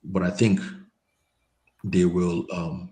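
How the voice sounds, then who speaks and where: A man with a very low voice talks football in a relaxed chat. His speech halts often, full of repeated words and ums. He comes through a quiet microphone on an online call. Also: takes it slowly. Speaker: panel guest. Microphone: remote call mic, quiet.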